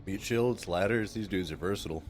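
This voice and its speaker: A man's voice: deep voice, fairly quick. A man at a tabletop game, dropping joking asides and quotes. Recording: prominent guitar music behind.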